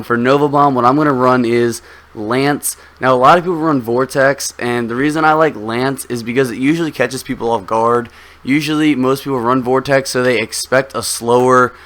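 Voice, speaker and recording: A young man with a slightly hoarse voice, giving relaxed, rambling game commentary full of ums as a gaming video host. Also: medium pitch. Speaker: gaming YouTuber. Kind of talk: casual gameplay commentary.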